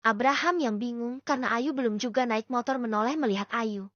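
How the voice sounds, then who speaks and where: A woman's voice: a little high, brisk, fairly bright tone, dry recording. A woman reading a scripted story as an audiobook narrator. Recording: dull lo-fi dry recording.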